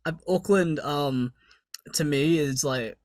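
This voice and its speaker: A man's voice: a little high, takes it slowly, no room sound. A young man from New Zealand with a New Zealand accent, hosting a casual interview and talking hesitantly with lots of fillers. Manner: hesitant, lots of fillers, laughs between phrases. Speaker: podcast host.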